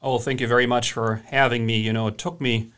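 A man's voice: medium pitch, little pitch movement, fairly quick, a bit bright, smooth. A man with a German accent, a guest historian in an interview, speaking conversationally with many hesitations and restarts.

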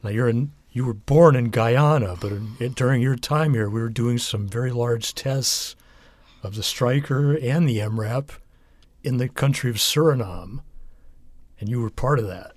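A man interviewing a guest, asking measured, informative questions. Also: medium pitch, no room sound.

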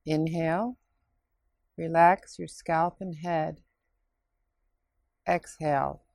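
A woman leading a scripted guided relaxation meditation in a soothing, unhurried, instructing way with pauses. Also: deep voice, gravelly, a little mellow, no echo, studio quality.

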